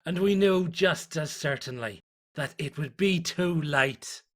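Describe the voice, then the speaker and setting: A man voicing a fanatical cult diarist, reverent and zealous, in a solemn, deliberate horror story narration. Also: a little high, fairly bright tone. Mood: grim, exultant.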